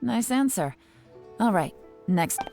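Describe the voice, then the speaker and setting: An actress playing a composed, teasing, commanding official in a video game, with a wry air of being in charge. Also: female voice, medium pitch, animated, slow pace, vocal fry, dark tone, soft background music. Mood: composed, businesslike. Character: cool, teasing, commanding female official and assessor.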